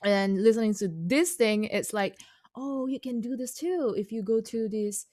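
A woman whose first language is Indonesian, a composer guest speaking English in a casual interview, halting with many fillers and restarts. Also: fairly high pitch, darkish tone, dry recording, studio quality.

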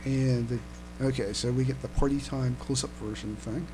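A man's voice: mid-range voice, fairly even intonation, moderate pace, background noise. A man hosting a casual fan podcast, chatting hesitantly and often stammering and repeating his words. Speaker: podcast host.

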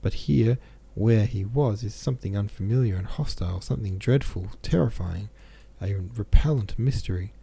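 A grieving young princess from a classic novel, read by a man, speaks in anguished, halting phrases and repeats herself. The voice is very mellow and dark, with a creaky edge. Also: lowish voice, heavily acted. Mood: horrified disbelief.

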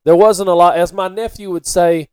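A middle-aged man talks casually as a podcast co-host. His voice sits a little high and is very smooth and clear, with a dry, close sound. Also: fairly even intonation, moderate pace, darkish tone, no room sound. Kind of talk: casual sports and local-news chat.

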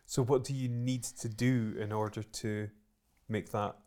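A man's voice: fairly low pitch, level tone, measured pace, a little mellow. A man interviewing a guest, asking short, encouraging questions. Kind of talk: casual interview.

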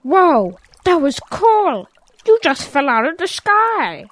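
Woman playing a stubborn little boy calf, high-pitched, lively intonation, childlike whine, audio drama. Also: takes it slowly, noisy background, exaggerated voice. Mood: curious, amazed. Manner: childlike, whiny pleading. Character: naive, eager, stubborn young boy calf.

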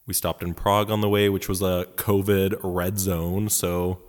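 A man's voice: deep voice, flat delivery, moderate pace, big-room sound. A man from Poland, with Polish as first language, co-hosting a podcast in campy, joking casual chat from his home. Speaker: podcast co-host. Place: house in Poland.

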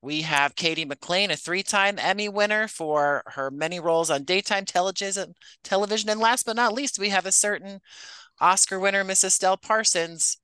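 A man's voice: high-pitched, normal speed, bright, forceful tone, clean tone, no echo, rough recording. A man speaking as an interview guest, explaining his work in an articulate, conversational and self-deprecating way. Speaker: podcast writer-producer and actor, interview guest.

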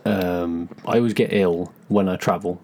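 A man's voice: sits low, fairly even intonation, normal speed, mellow. A man co-hosting a podcast in casual chat, easygoing and teasing, laughing readily. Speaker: podcast co-host.

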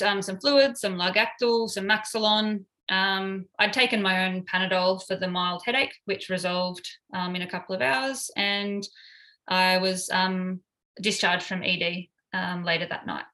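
A woman's voice: medium pitch, steady tone, a little slow, pushed and bright. A woman in her thirties, a paramedic, recounting her story in an interview with frequent hesitations and fillers.

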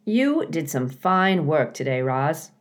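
A woman voicing a folksy, bossy but kindly male beaver builder in a children's storybook, chatty and gruff in manner. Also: sits low, big pitch swings, slow pace, heavily acted. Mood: approving.

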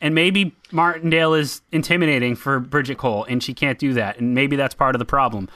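Bright, emphatic man with a Boston accent. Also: medium pitch, brisk. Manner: emphatic, opinionated. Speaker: podcast co-host. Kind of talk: casual film discussion.

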